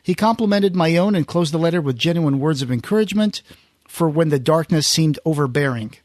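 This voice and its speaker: Higher-voiced man hosting a casual podcast. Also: expressive, brisk, a little mellow, dry recording, clean studio sound. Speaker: podcast host. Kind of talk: casual podcast talk, reading out listener comments.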